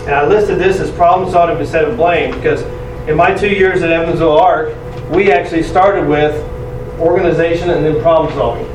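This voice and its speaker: Loud-voiced man presenting in an echoey hall. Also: a little high, a little fast. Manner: loud, energetic, self-deprecating. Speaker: conference speaker. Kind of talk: presentation talk. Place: large conference room. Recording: echoey room from far off, noisy.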